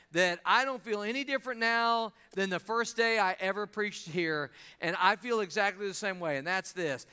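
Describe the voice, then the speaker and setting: A pastor, preaching a sermon and drawing answers from the congregation. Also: male voice, sits high, sharp tone, clean tone. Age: 50s. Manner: call-and-response preaching, asks the congregation to answer.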